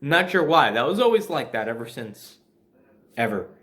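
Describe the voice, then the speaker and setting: A man's voice: medium pitch, big pitch swings, moderate pace, a bit bright. A man from New Jersey with an American accent tells a personal story casually, conversationally, with sardonic asides. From New Jersey, USA.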